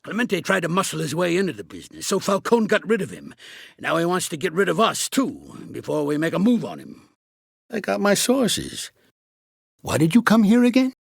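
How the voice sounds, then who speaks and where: An actor playing a gruff, streetwise older mobster in a video game, with an American accent, a raspy voice and a blunt, slangy, commanding way of talking. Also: male voice, high-pitched, huge pitch swings, normal speed, no echo, studio quality, exaggerated voice. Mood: irritated, alarmed. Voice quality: raspy, gravelly. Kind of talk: video game character acting.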